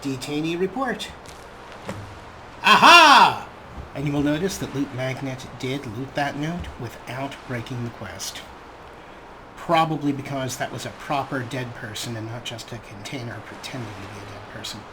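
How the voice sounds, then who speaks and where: A man's voice: medium pitch, normal speed, noisy background. A man giving casual, chatty gameplay commentary as a let's play host, with a wryly understated manner.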